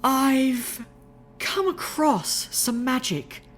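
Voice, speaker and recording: A woman's voice: high voice, expressive, very slow, pitched above the performer's own voice, exaggerated voice. A woman voicing a graceful, refined unicorn mare who speaks in a prim, ladylike way. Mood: cautious. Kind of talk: audiobook reading of fan fiction.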